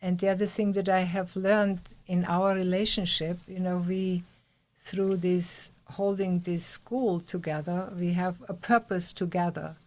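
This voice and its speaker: Lower-pitched woman with an Austrian accent. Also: steady tone, measured pace, darkish tone. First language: German. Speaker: guest, meditation teacher. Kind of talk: conversational interview.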